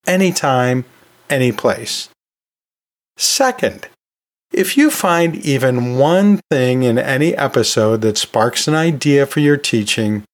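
Guy doing a teaching podcast, kinda high-ish voice, really animated, folksy and jokey. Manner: folksy, jokey asides with chuckles. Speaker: podcast host, anatomy and physiology teacher. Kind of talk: conversational solo podcast narration.

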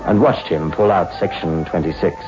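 A curious but uneasy crime reporter played by a man speaks low, in a dry and measured way. The old radio drama recording is dull, with music behind. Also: natural voice. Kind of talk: 1960s radio drama acting and first-person narration.

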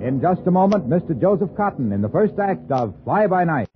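An American man announcing and pitching a sponsor in scripted 1950s radio style. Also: big pitch swings, brisk, slight background noise. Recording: muffled old radio broadcast.

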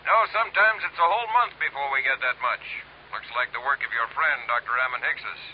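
A man's voice: medium pitch, brisk. An actor playing a businesslike male police lieutenant, heard through a telephone filter in an old radio drama. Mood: brisk. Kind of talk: 1950s radio drama acting. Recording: dull, noisy old radio recording.

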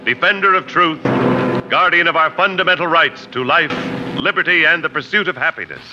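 A male announcer with a fairly high voice proclaims grandly in 1950s radio style. Loud music plays behind him on a muffled old recording. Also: brisk. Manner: grand, declamatory. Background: loud theme music.

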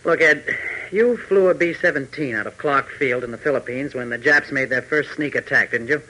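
Bright-voiced young radio hero pilot. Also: male voice, mid-range voice, normal speed, poor audio. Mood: firm, persuasive. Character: young heroic airfield pilot, steady and persuasive. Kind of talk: 1940s radio adventure acting. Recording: 1940s radio recording.

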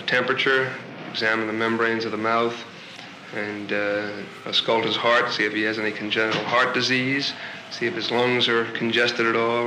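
A man's voice: on the low side, pushed and bright. A man speaking as a veterinarian, explaining things in a clinical, methodical and formal way. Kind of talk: explanatory advice to a client. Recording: noisy 1950s portable tape recording.

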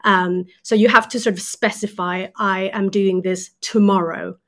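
Swedish lady on a podcast, thinks out loud, lots of ums and sort-ofs. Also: medium pitch, steady tone, measured pace. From Sweden. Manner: thoughtful, explains with hesitant fillers. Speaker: guest author. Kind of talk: casual podcast conversation.

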